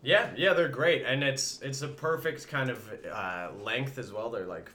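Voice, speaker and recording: A man's voice: mid-range voice, measured pace, sharp tone, slight background noise. A man, a podcast guest, telling a story with enthusiasm and laughing. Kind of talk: casual chat.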